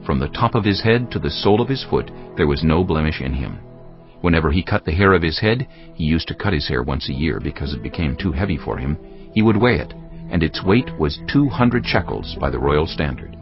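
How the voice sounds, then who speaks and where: A man narrating scripture from a script in a measured storytelling manner. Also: very deep voice, expressive. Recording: slightly muffled, music underneath. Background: background music.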